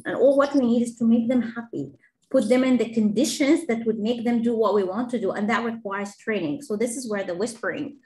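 A woman's voice: fairly high pitch, low-quality recording. A woman from Egypt, an engineering professor, giving a webinar talk and answering questions in an earnest, explanatory way, with fillers and restarts. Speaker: engineering professor, guest speaker.

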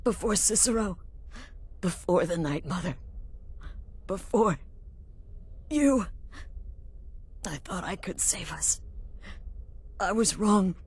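Husky, dying female assassin leader. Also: medium pitch, lively intonation, darkish tone. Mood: regretful, weak. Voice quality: husky, breathy, broken. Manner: halting, strained, confessional. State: weak, gasping, in pain. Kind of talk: dramatic video game acting.

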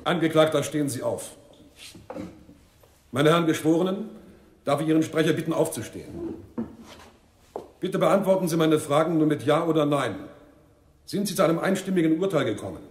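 A man acts a stern court official in a radio drama. His fairly high voice declaims ceremoniously and formally in a very echoey room. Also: animated, normal speed, pauses often, lightly acted. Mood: solemn. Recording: echoing courtroom acoustic.

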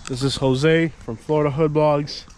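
A man in his thirties vlogging casually outdoors, chatting and thinking out loud with lots of fillers, filmed on a handheld camera. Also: on the high side, steady tone, normal speed. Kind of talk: casual walking vlog. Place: outdoors at an empty lot, open air. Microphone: handheld action camera at arm's length.

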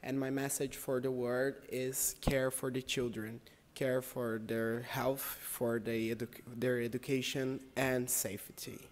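A young man from Brazil speaking slowly, quietly and carefully to camera. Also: medium pitch, level tone, a little hiss or hum. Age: young adult. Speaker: interviewee. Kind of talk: brief speech to camera.

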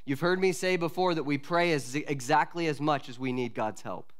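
A man in his forties, a pastor, preaching an expository sermon from a lectern mic in a church, earnest and measured with pauses and restarts. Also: fairly high pitch. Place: church sanctuary at a wooden lectern. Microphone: lectern microphone.

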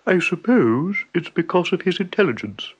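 A man's voice: high-pitched, very animated, moderate pace, breathy edge, voice pushed up, heavily acted. Man doing a stammering, timid buffalo. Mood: nervous. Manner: stammering, nervous. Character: timid, proud male water buffalo.

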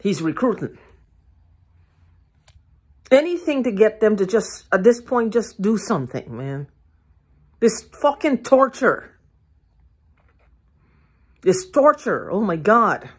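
An older woman ranting to her phone camera in a living room, heated, profane and repetitive, with a hoarse throat. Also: mid-range voice, sing-song, slow, pauses often. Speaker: vlogger. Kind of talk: agitated rambling monologue to camera. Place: living room at home, indoors. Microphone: phone held at arm's length.

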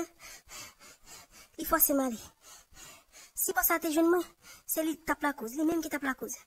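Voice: very high voice, no room sound. A performer with a Haitian accent voicing an aggrieved, insistent young male cartoon sidekick who grumbles and repeats himself. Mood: agitated. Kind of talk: Haitian Creole cartoon dialogue.

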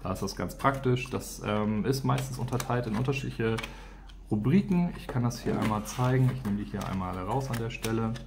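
A man's voice: lowish voice, brisk, vocal fry, background noise. A man giving a casual, unscripted product walkthrough, informally thinking aloud as he goes. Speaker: product reviewer and teacher.

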